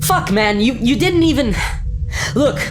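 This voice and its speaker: Woman acting an exasperated, high-pitched spaceship captain. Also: expressive, a little slow, noisy background. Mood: urgent. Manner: urgent, questioning, sweary. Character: insistent, exasperated spaceship captain questioning the crew. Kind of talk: comic sci-fi radio play.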